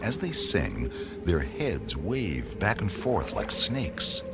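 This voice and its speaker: A man narrating a scripted radio drama as a storyteller, with deliberate phrasing. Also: deep voice, wildly swooping pitch. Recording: loud music under the voice.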